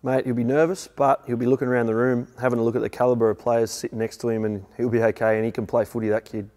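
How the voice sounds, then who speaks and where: Laid-back ex-player, blunt. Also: male voice, mid-range voice, little pitch movement, fast talker, a little mellow, in a large hall. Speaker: former player, panelist. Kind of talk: casual sports chat. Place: studio couch.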